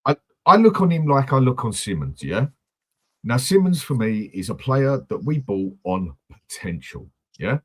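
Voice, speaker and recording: A man's voice: medium pitch, very animated, moderate pace, fairly bright tone, slightly rough. A man hosting a casual football fan chat, blunt and swearing freely. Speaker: host of a football fan channel.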